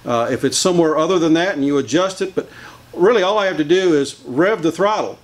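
Older male mechanic, plain-spoken teacher with a slightly high voice, recorded in a boat shop. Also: fairly quick. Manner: plain-spoken, many uh fillers, hands-on demonstrating. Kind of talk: workshop how-to explanation. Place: large boat workshop indoors. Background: idling boat engine.

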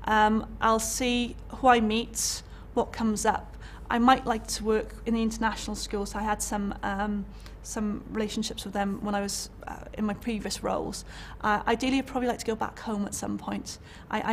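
A woman's voice: mid-range voice, flat delivery, normal speed, hardly any pauses, slight background noise. A young woman with a Welsh accent, a college lecturer, talking candidly about her career in an interview, chatty with many fillers and restarts. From Wales. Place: indoor college room.

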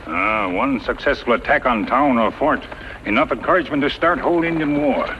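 A man's voice: medium pitch, a little fast, lightly acted. Man acting loyal western sidekick, terse broken English, grave, reverberant, hissy 1950s radio drama. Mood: grave, steady. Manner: terse, clipped broken English with dropped words. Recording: muffled, hissy old radio recording, reverberant.

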